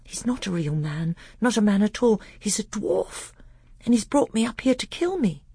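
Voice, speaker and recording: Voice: mid-range voice, very animated, unhurried, breathy edge, no echo, clean studio sound, pitched above the performer's own voice, heavily acted. A narrator voicing a good-hearted young boy prince, earnest and wide-eyed, in a storybook reading. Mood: terrified.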